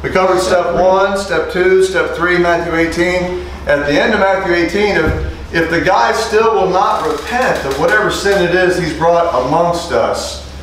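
A middle-aged man preaching an interactive sermon to a small group, animated and asking the room for amens. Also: fairly high pitch, heavy reverb, far from the mic, a little hiss or hum, low-quality recording. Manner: animated, calls for amens, asks the room questions. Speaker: preacher teaching street preachers. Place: small indoor meeting room. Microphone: lapel mic.

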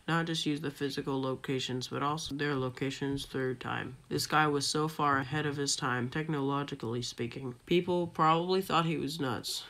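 A performer voicing an excitable, sarcastic young boy adventurer, earnestly telling a scripted audio drama story. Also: mid-range voice, close to an everyday voice.

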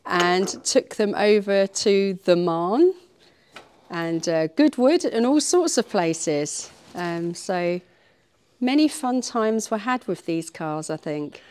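A British woman with a mid-pitched voice co-hosts a casual vlog. She asks questions and explains things to viewers in a wry, playful way. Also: animated, takes it slowly, a little mellow, clear, resonant tone. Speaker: co-host interviewing and filming.

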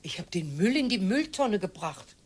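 An actress playing a fed-up older wife who is sharp-tongued and scornful, with a husky voice, in a radio drama. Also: female voice, mid-range voice, big pitch swings, moderate pace. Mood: sour.

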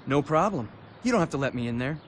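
A man's voice: a little high, big pitch swings, fast, slight background noise. An actor playing an eager, easygoing young male skater in a video game, casual and slangy. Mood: defiant. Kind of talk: video game cutscene acting.

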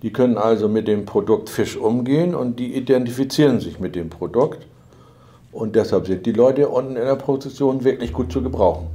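A middle-aged man, a company manager, calmly explaining history in an office interview. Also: medium pitch. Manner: measured, matter-of-fact, occasional fillers. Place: office with file binders.

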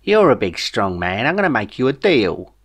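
A man's voice: mid-range voice, big pitch swings, moderate pace, husky. A man voicing a bossy, vengeful alien queen with a brash, sarcastic Cockney accent, reading an audiobook story. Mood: menacing, sarcastic. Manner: brash, bawling, sarcastic, slangy.

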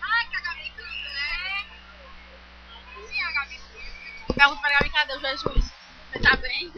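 A woman's voice: sits high, moderate pace, dull audio, a little hiss or hum. A young Brazilian woman with a Brazilian accent, bantering and teasing her friends on a webcam stream from a shopping mall. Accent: Brazilian Portuguese. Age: young adult. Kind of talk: casual group livestream chat. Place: large indoor shopping mall. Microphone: webcam mic.

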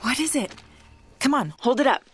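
An American actress playing a plucky, assertive young TV journalist with a brisk, insistent delivery. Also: female voice, high voice, animated, faint music behind. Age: young adult.

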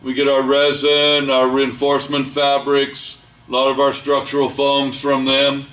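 An older man, a boat builder, giving a plain, unhurried walkthrough of a catamaran under construction, with frequent fillers. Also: mid-range voice, monotone, a bit bright, far from the mic. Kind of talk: casual walkthrough tour. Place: large boatbuilding warehouse, indoors. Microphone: camera mic, speaker often several steps away. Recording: echoey warehouse, voice from off-mic, faint hum.

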